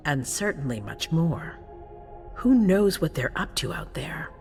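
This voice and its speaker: Casting: a cold vampire ruler in a game; deep for a woman, very varied intonation, imperious and deliberate. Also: big pitch swings, faint music behind, exaggerated voice. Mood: imperious, menacing. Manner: regal, controlled, deliberate, at times hushed. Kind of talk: dramatic video game acting.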